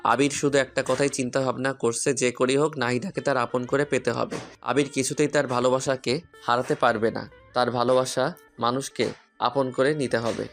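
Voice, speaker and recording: A man's voice: mid-range voice, level tone, normal speed, a bit bright. A man narrating a scripted story in the first person. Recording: music under the voice. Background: guitar music.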